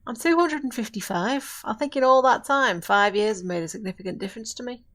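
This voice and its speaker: A woman acting a centuries-old witch in an audio drama, firm but caring, speaking earnestly in formal, measured phrases. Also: fairly high pitch, fairly bright tone, lightly acted. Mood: firm, consoling.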